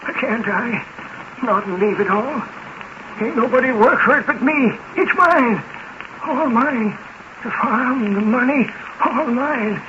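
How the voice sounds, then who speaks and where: Very high-voiced, cantankerous old farmer. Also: male voice, slow. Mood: desperate, possessive. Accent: rural American. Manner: querulous, agitated, demanding. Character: sick, miserly, cantankerous old farmer father. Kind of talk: 1940s radio drama acting. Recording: muffled, hissy 1940s radio recording.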